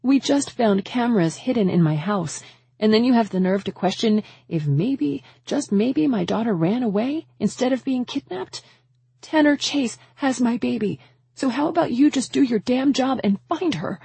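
A woman narrator voicing a distraught, fiercely protective young mother in an audiobook, with urgent, emotional delivery and a husky voice. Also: medium pitch, big pitch swings, moderate pace, mellow, no room sound, pitched above the performer's own voice. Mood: outraged.

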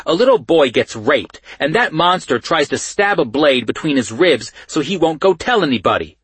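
A man acts out a gruff Baltimore police sergeant in an audiobook. He is blunt and foul-mouthed, with little patience. The voice is slightly husky and recorded close and dry. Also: fairly high pitch, a bit bright, dry recording, pitched above the performer's own voice, heavily acted. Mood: outraged. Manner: profane, blunt, impatient. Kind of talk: audiobook character dialogue.

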